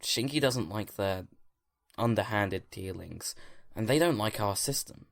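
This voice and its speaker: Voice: sits low, animated, measured pace, creaky, heavily acted. A performer playing an earnest, guilt-ridden young agent in a fan audio drama, anxious but resolute, sighing and pleading. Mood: grave, explaining.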